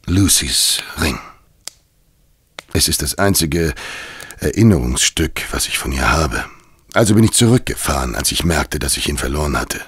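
An actor in a German audio drama playing a weary, dying London cabman who confesses with halting, grave bitterness. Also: very deep voice, lively intonation, fairly bright tone, breathy edge, no echo, full character voice. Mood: sombre, insistent.

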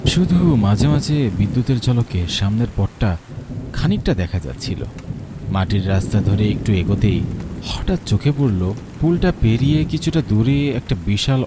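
A man's voice: on the low side, background noise. A man narrating a horror cartoon story in the first person, tense and dramatic, with nervous stammers. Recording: loud rain and thunder behind.

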